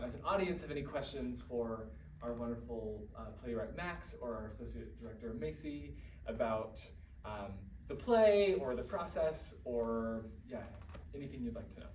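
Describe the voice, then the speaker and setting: Male moderator, informal and halting, picked up from afar with roomy echo. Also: medium pitch. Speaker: talkback moderator. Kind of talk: casual audience discussion hosting. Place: indoor theatre room, mic far off. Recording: echoey room, distant mic, noisy.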